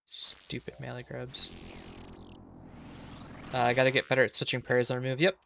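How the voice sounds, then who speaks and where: A man's voice: medium pitch, monotone, moderate pace, vocal fry, fairly bright tone, a little hiss or hum. A man with a Minnesotan accent, in his thirties, chatting casually with viewers while he streams a game and restarting his sentences as he goes. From Minnesota, USA.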